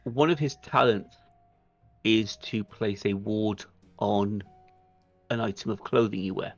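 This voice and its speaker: A man's voice: on the low side, measured pace, muffled recording, faint music behind. A man acting as game master, explaining and narrating a tabletop roleplay, making sure his players follow. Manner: explanatory, checks listeners follow.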